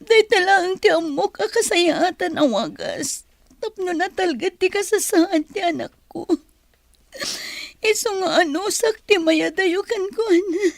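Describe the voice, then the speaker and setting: Radio soap role: grieving young Filipino mother, tearful and pleading, slow delivery, close dry sound. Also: very high-pitched, lively intonation, gravelly, no room sound, exaggerated voice. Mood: sorrowful, pleading. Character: sorrowful young mother giving up her baby.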